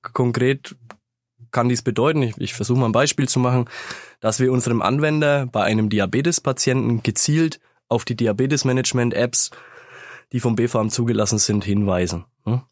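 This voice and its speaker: A man with a slight cold, explaining things in a measured way as a guest in a business interview, recorded in a studio. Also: medium pitch, no room sound. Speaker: sales manager, interview guest. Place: company sound studio. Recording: dry studio sound.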